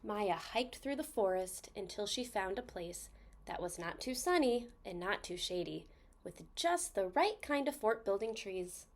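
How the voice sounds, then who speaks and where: A woman reading a children's story aloud expressively, stressing key words with deliberate phrasing. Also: medium pitch, lively intonation.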